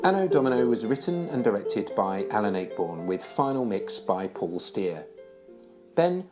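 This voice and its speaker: A man with a slightly deep voice reads credits as a scripted announcement. Loud plucked guitar music plays behind him. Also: very animated, normal speed.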